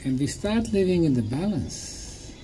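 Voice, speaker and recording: Slow, deliberate man giving a public talk. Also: a little high, low-quality recording. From Bosnia. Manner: deliberate, short emphatic phrases. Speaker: lecturer. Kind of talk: public talk paused for interpretation. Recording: clear steady background noise.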